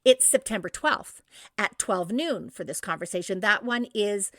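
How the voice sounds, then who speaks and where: A middle-aged woman with a bright, forward voice co-hosts a podcast in a casual, enthusiastic style. The sound is dry and close. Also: medium pitch, moderate pace, dry recording. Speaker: podcast co-host. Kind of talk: casual event listings and chat.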